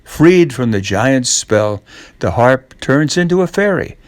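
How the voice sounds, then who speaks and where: A man's voice: mid-range voice, expressive, takes it slowly, no room sound. An older man reading a children's story as a scripted narration.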